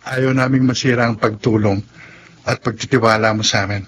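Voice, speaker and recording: An actor playing a friendly, grateful young male restaurant worker in a Tagalog radio drama, talking in a gently inquisitive, conversational way. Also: medium pitch, steady tone, brisk, background noise, natural voice. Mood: earnest, grateful. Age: young adult.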